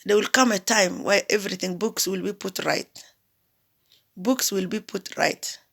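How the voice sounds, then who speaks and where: An impassioned woman from South Sudan speaks out in an emphatic political address. Her voice has a gravelly, fry-tinged edge at a middling pitch. She drives her points home with repeated phrases and pointed rhetorical questions. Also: measured pace, fairly bright tone. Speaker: diaspora commentator.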